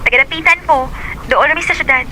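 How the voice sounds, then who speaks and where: A woman's voice: high-pitched, quick pace, sharp tone, slight background noise, poor audio. An actress playing a young female student who becomes a man's girlfriend over video calls, in a radio drama. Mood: friendly. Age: young adult.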